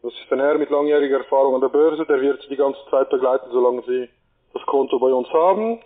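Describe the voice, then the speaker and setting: A man's voice: medium pitch, quick pace. A man giving a formal, courteous sales explanation over the phone as a call centre agent. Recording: tinny narrow phone-line sound.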